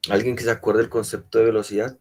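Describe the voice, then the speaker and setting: A man's voice: lowish voice, little pitch movement, fast talker, a little mellow. A man teaching a physical education class while he has a cold, explaining step by step and checking in with his students. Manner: explanatory, many rhetorical checks. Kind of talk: online class lecture.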